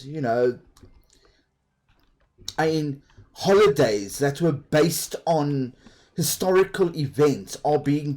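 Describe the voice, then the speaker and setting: Slow, rambling South African man. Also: on the high side, darkish tone. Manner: rambling, chatty, laughs easily. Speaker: game streamer. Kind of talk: casual chat while playing a game.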